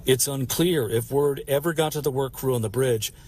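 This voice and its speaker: A man's voice: medium pitch, moderate pace, a little mellow, a little hiss or hum. A man narrating a scripted television news package as a reporter.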